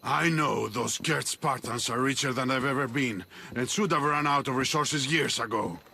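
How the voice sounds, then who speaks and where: An actor playing an earnest, embattled rebel in a video game, urgent and forthright. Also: male voice, medium pitch, moderate pace, gravelly, pushed and bright, slight background noise. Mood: urgent, resolute. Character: earnest embattled male Greek rebel fighter. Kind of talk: dramatic video game acting.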